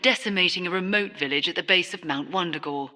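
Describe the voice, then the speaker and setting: A female news reporter with a crisp, bright, slightly low voice. She announces formally and urgently for a video game, drenched in long reverb. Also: quick pace. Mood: formal, grave.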